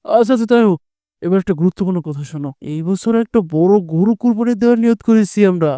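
Man acting a greedy village moneylender, high-pitched, mellow. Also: fast, dry recording, clean studio sound, natural voice. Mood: grumbling, bossy. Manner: bossy, scolding. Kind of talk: Bengali cartoon voice acting.

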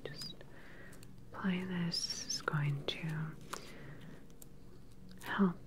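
A young woman playing a calm, clinical evil scientist in an ASMR sci-fi roleplay, speaking softly and soothingly, close to the mic. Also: on the low side. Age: young adult. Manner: soft, soothing, unhurried personal attention. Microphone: close microphone, leaning in near camera.

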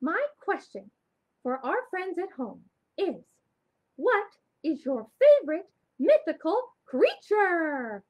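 A woman plays a girlish flamingo puppet from a children's storytime. The voice is high and squeaky, with big swooping pitch. The bird is bubbly, friendly and a little vain, given to exclaiming. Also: sing-song, slow pace, darkish tone, heavily acted. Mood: eager.

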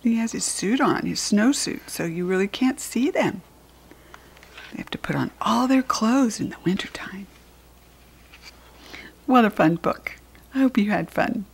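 Breathy American woman reading slowly to children. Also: medium pitch. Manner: expressive, addressing small children. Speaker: children's book reader. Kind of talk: read-aloud storytelling for young children.

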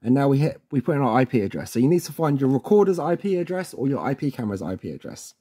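A man's voice: mid-range voice, big pitch swings, fast, warm tone, slightly rough. A man presenting a casual instructional walkthrough in an informal, chatty way, often checking in with the listener. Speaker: tutorial presenter.

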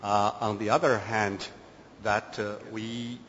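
Male delegate, native chinese speaker, measured and hesitant, lots of fillers, echoey hall, dull lo-fi sound. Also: on the low side, level tone, a bit bright, a little hiss or hum. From China. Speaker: government delegate. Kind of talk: formal conference statement. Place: large conference hall.

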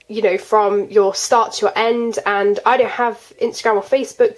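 A woman's voice: medium pitch, darkish tone, low-quality recording. A fourteen-year-old girl with a congested, cold-affected voice, chatting casually as she hosts a hobby video. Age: 14, teenager. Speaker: colouring channel host. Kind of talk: casual chat to camera, showing artwork. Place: indoors at a desk.

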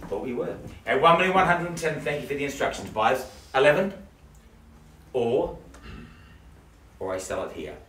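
A middle-aged New auctioneer works a house sale with quick jokes and repeated bid figures. His voice sits at an ordinary pitch for a man. He is heard from across an echoey living room. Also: expressive, fairly bright tone, slight background noise. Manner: joking, rapid patter, repeating bids. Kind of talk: live property auction calling. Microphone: camera across the room. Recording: roomy, distant camera audio.

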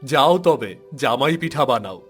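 A man's voice: medium pitch, lively intonation, unhurried, fairly bright tone, slightly rough. A man voicing a food-loving son-in-law in a cartoon, playful and insistent. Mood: teasing, insistent. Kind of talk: cartoon voice acting. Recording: faint music behind the voice.

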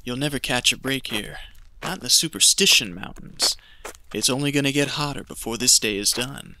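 A man's voice: mid-range voice, moderate pace, pushed and bright, slight background noise, poor audio. A man playing a grim, folksy desert treasure hunter in an audio drama. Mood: grim, determined.

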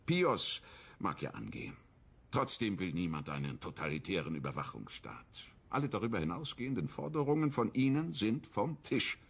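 Male actor as stern politician, radio play, curt, authoritative, raspy, creaky low voice. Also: sing-song, normal speed, a bit bright, heavily acted. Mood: curt, dismissive. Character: stern, condescending government minister dismissing a subordinate. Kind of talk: German radio crime drama acting.

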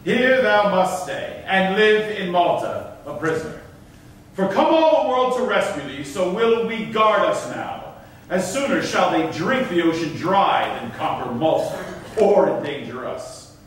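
An actor playing a stern, triumphant governor of Malta, declaiming Elizabethan verse on a theatre stage with command. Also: male voice, sits high, lively intonation, unhurried, a little hiss or hum. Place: on stage in an auditorium. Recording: echoey theatre stage, distant microphone.

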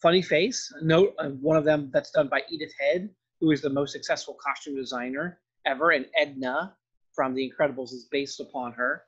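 Husky higher-pitched man lecturing informally. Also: fairly even intonation, normal speed, fairly bright tone. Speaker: film and art history professor. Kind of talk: informal narrated slide lecture. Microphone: voice-over on slides. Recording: dull, lo-fi voice-over recording.

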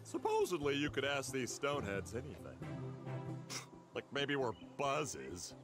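A man's voice: medium pitch, very animated, a little slow, music in the background. A man playing a cocky, goofy, good-natured cartoon adventurer pilot, breezy and rambling. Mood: breezy, grumbling.

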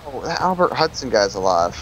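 A man's voice: mid-range voice, sing-song, fairly quick, fairly bright tone, muffled recording, slight background noise. A young man chatting and joking over voice chat while playing a zombie game, swearing freely. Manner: joking, swears freely, breaks into playful singing. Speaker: co-op player and friend of the host. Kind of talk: casual gaming chatter.

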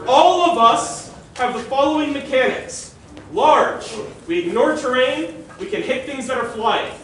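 A man's voice: extremely high pitch, unhurried, fairly bright tone, slight background noise. A man briefing a group on game rules in an emphatic, instructive way, answering questions as the organizer. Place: open-sided concrete park pavilion. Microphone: distant camera mic. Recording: echoey park pavilion, far camera, crowd chatter.

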